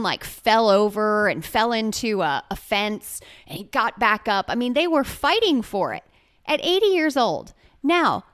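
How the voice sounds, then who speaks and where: A woman's voice: mid-range voice, fairly bright tone, clean tone, dry recording, studio quality. A woman who coaches triathlon, speaking earnestly and encouragingly in a casual podcast chat.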